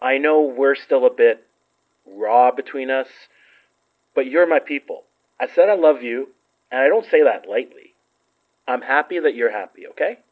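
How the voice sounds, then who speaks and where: A man's voice: mid-range voice, normal speed, pauses often, narrow, tinny audio, lightly acted. An actor playing an affectionate, teasing young man in an audio drama, playful and reassuring. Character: Murphy, teasing, affectionate, open-hearted young friend and ex. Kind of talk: naturalistic audio drama acting.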